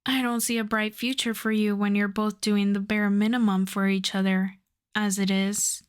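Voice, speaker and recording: A woman playing a suave, self-assured female supervillain in a roleplay audio drama, flirting and teasing in an unhurried way. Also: medium pitch, level tone, clear, resonant tone, lightly acted. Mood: confident, coaxing. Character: suave flirtatious female supervillain courting her costume maker.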